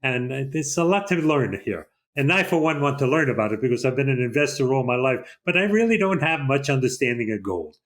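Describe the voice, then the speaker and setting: An older man hosting an interview in a measured, conversational way. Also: mid-range voice, expressive, a bit bright. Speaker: host.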